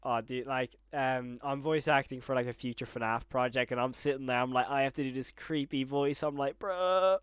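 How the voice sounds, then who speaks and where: A man's voice: mid-range voice, steady tone, normal speed, few pauses, smooth, dry recording, clean studio sound. A young man chatting casually as an enthusiastic, slangy host and interviewer. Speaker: YouTube horror reactor and interviewer, also a voice actor. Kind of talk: casual chat.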